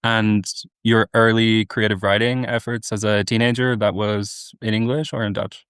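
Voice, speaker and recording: Measured male podcast host. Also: on the low side, little pitch movement, a bit bright, clear, resonant tone, no room sound, clean studio sound. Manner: measured, formal introductions and questions. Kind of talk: podcast interview.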